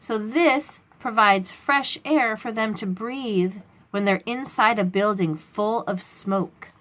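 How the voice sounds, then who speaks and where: A woman's voice: medium pitch, slow, a bit bright. Woman explaining things to young children. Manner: simple, questioning, instructive phrasing for toddlers. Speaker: children's read-aloud host.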